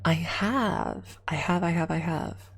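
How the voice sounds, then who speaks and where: A woman with a soft, breathy voice, often near a whisper, chatting casually and playfully as an ASMR streamer close to the microphone. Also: deep voice, takes it slowly. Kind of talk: casual ASMR livestream chat with viewers.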